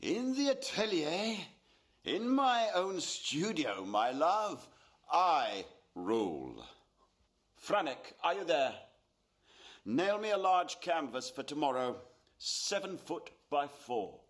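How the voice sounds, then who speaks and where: A man's voice: a little high, wildly swooping pitch, slow, fairly bright tone, heavily acted. A middle-aged man acting a genial, domineering painter and father in a radio play, with a theatrical, coaxing delivery. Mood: firm, coaxing. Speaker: actor.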